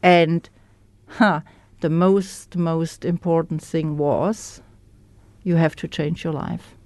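A woman with a German accent, a native German speaker, candidly sharing her recovery story as a guest in an interview, pausing to search for words. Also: low voice, fairly even intonation, darkish tone, no echo. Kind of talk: personal testimony in an interview.

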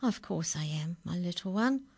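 Knowing old grandma, soft murmur, read by a woman. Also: fairly low pitch, expressive, normal speed, vocal fry, slightly rough, no echo, pitched above the performer's own voice, full character voice. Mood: affectionate. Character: warm grandmother, knowing and sly.

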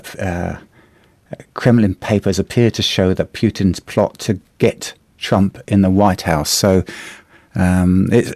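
A middle-aged man with a New Zealand accent, a slightly hoarse radio host chatting through the day's newspaper, halting with many fillers and restarts. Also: deep voice, darkish tone, no room sound. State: a bit hoarse. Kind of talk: casual radio chat reviewing the newspaper.

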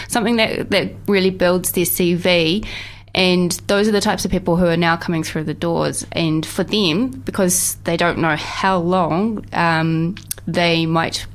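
Explanatory woman with a lowish voice. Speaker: interview guest from a volunteer organisation. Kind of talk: informative conversational interview.

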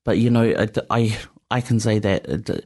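A man hosting a community radio chat. He is thoughtful and easygoing and pauses often. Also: lowish voice, a little mellow. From New Zealand. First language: Samoan. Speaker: radio host.

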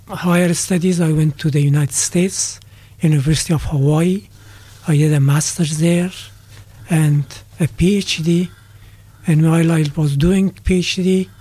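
An older man from Afghanistan answers radio interview questions in a measured, hesitant way, often pausing and restarting his sentences. His voice sits a little high for a man but has a mellow, dark tone. The sound is close and dry. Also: steady tone, slightly rough, dry recording. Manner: thoughtful, with frequent hesitations and restarts. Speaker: guest, retired politics lecturer.

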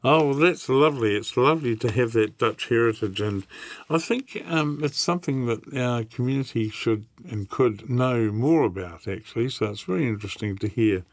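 A man's voice: medium pitch, animated, normal speed, no echo. Encouraging male radio host, dry sound. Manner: encouraging, brief affirmations. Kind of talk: radio interview.

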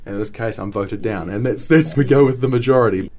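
A man's voice: mid-range voice, quick pace, a little mellow. A man on a radio panel discussing board work, speaking thoughtfully and often restarting his phrases. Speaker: panel guest, board member.

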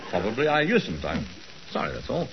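An actor playing a chatty senior officer who rambles on and talks over others. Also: male voice, on the low side, huge pitch swings, fairly quick. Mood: breezy. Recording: muffled old radio broadcast with noise.

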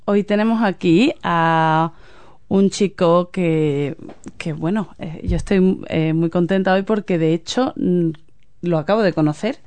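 A woman's voice: lowish voice, measured pace, darkish tone. A woman hosting a community radio interview, chatty and curious in her questions. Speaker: radio host.

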